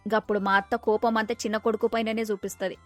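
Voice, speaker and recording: Woman acting quick, scheming Telangana young wife. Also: a little high, flat delivery, soft background music, lightly acted. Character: scheming young Telangana daughter-in-law plotting to herself.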